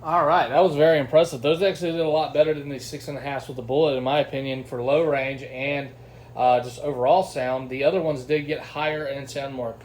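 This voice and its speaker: A man's voice: mid-range voice, fairly even intonation, brisk, a little mellow, low-quality recording. A man giving a casual, informal and enthusiastic hands-on product review. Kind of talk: casual unboxing and testing review. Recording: clear background noise.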